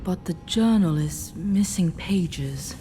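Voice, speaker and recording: An actress voicing a thoughtful female adventurer in a fantasy video game, musing in a measured way. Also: lowish voice, expressive, noisy background. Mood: musing, curious.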